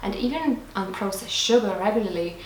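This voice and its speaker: A woman's voice: mid-range voice, animated, brisk, recorded from a distance, slight background noise. A young woman whose first language is Lithuanian, chatting earnestly to camera as she answers viewer questions, with small restarts. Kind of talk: casual to-camera Q&A. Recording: echoey room, voice off-mic.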